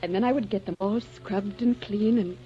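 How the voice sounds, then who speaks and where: An actress playing a tender, devoted young woman in a doomed romance, with soft, wistful delivery in 1940s radio drama style. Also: mid-range voice, fairly even intonation, normal speed, natural voice. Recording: dull old radio recording, soft music behind.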